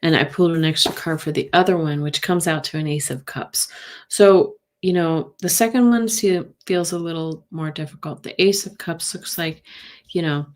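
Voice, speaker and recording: A soft-spoken woman with a slightly low voice talks through tarot cards on a live stream. She muses gently and unhurriedly, speaking into a desk mic at her table. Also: moderate pace. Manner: gentle, thinking aloud, answers viewers by name.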